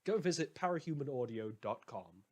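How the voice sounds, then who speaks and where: A man's voice: mid-range voice, lively intonation, fairly quick, a little mellow. A man giving informal credits for a fan-made audio production, as its creator. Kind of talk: informal show credits announcement.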